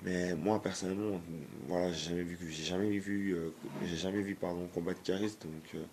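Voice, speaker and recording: Hoarse low-voiced man ranting. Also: fairly even intonation, moderate pace, a little mellow, a little hiss or hum. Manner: hesitant, many fillers and restarts; blunt, disapproving. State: hoarse from a cold. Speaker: YouTuber. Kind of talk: casual vlog rant.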